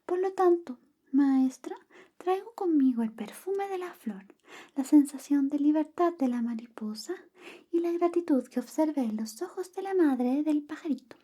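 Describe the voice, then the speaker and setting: A young woman gently reading a bedtime story in a soft, whispered ASMR style. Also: sits high, lively intonation, normal speed.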